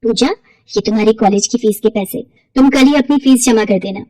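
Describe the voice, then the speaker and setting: A gentle young daughter-in-law acted by a woman narrator with a higher voice and a respectful, soothing manner. She sounds earnest and dutiful, like a cartoon moral-story heroine, in a dry recording. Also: a little fast, no room sound, lightly acted, low-quality recording. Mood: kindly insistent.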